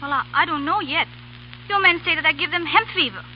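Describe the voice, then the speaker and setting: A woman's voice: sits high, lightly acted. A woman playing a saucy young castaway. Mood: wary. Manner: halting, accented, saucy. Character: spirited young immigrant woman, defiant and sharp-tongued. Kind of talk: 1930s radio drama acting. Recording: muffled old radio broadcast with faint hiss.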